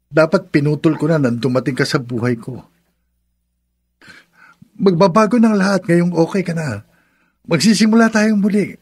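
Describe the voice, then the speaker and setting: An actor playing a pleading, self-centred young lover in a Filipino radio drama, insistent and melodramatic. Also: male voice, on the high side, animated, moderate pace, long pauses, darkish tone, slightly rough. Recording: music behind the voice.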